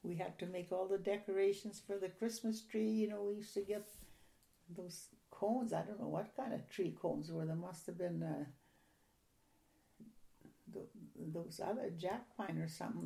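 An older woman from a Ukrainian-speaking home, reminiscing in an oral history interview in a soft, halting, rambling way. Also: lowish voice, fairly even intonation, vocal fry.

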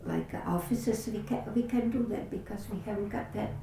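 An older woman with a Latvian accent, her first language, telling her life story in an oral history interview. She speaks haltingly, searching for words and restarting often. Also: mid-range voice, creaky, mellow. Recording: roomy echo, distant mic, faint hiss.

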